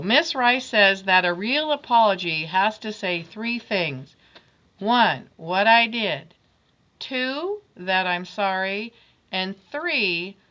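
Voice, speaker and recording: A woman reading aloud the apology notes of a grudging young schoolboy, voicing them a little louder than her narration. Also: mid-range voice, highs cut off.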